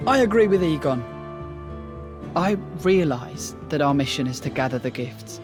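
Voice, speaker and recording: Actor as young game warrior: higher male voice, polite, reflective, questioning, music behind. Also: lively intonation, moderate pace, close to an everyday voice. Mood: sincere. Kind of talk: video game cutscene acting.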